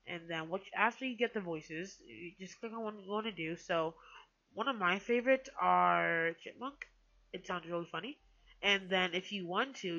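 A woman hosting a casual software tutorial in a hesitant, rambling way, often correcting herself. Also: on the low side, dull audio. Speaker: tutorial host.

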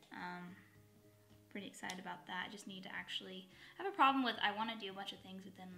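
Woman, thirties, slightly raspy dry voice, rambling chatty get-ready-with-me talk, echoey bedroom. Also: medium pitch, pushed and bright. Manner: rambling, self-correcting, with asides. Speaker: beauty vlogger. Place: bedroom at home, indoors. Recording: echoey home room.

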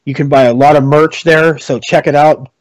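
A man's voice: fairly high pitch, fairly even intonation, no room sound, low-quality recording. Effusive male podcast co-host. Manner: warm, effusive, loose and halting. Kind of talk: casual sign-off talk.

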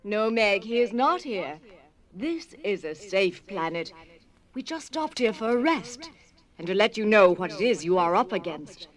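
Animated, wise old otherworldly lady. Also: mid-range voice. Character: wise, kindly eccentric old otherworldly woman. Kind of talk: audio dramatization acting. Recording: faint music under the voice.

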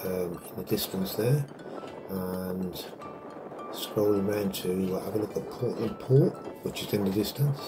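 A low-pitched man talks slowly and loosely as he walks a breezy waterfront path, filling pauses with ums and uhs. His voice comes through a camera mic battered by wind, with music playing underneath. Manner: unhurried, rambling with many fillers. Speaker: vlogger walking tour guide. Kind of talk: casual walking commentary. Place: outdoors on a windy seaside path. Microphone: handheld camera mic in wind. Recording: wind noise with background music.

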